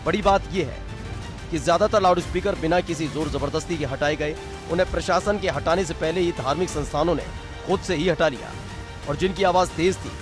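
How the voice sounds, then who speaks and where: A man's voice: fairly high pitch, brisk. A man narrating a scripted news report in a punchy, emphatic, dramatic style. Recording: music bed behind the voice.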